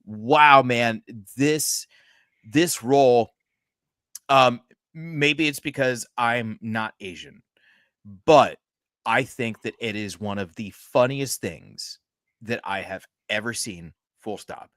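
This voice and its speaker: A man co-hosting a horror movie podcast, chatting in an unhurried way that keeps tipping into excitement, with laughter and the odd curse. His delivery is slow and drawn out. The sound is dry and close to the mic. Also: medium pitch, no echo. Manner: excitable, laughs often, swears when worked up. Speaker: podcast co-host. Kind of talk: casual chat about a horror movie.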